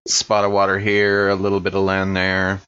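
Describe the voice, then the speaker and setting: A man's voice: low-pitched, monotone, moderate pace, fairly bright tone, clean tone, dull audio. A man chatting casually as a player in a tabletop game, informal and thinking aloud.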